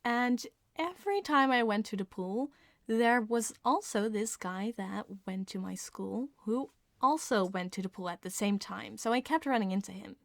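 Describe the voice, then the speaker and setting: A woman's voice: mid-range voice, normal speed, creaky, dry recording, studio quality. A woman telling casual, confessional personal anecdotes in an ASMR storytime style, with a light giggly manner.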